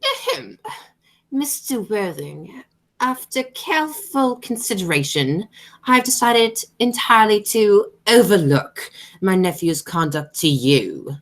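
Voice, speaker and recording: A woman playing an imperious, pompous aristocratic matron in an amateur radio play, speaking in a formal, deliberate way. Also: medium pitch, sing-song, full character voice. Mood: measured condescension. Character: haughty, imperious aristocratic matron Lady Bracknell, coolly disapproving.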